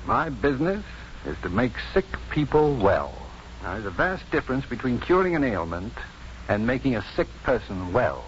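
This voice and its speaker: An actor playing a self-assured, compassionate physician with a measured, dryly witty delivery in a 1950s radio drama. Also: male voice, medium pitch, very animated, lightly acted. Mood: earnest. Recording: muffled, hissy 1950s radio recording.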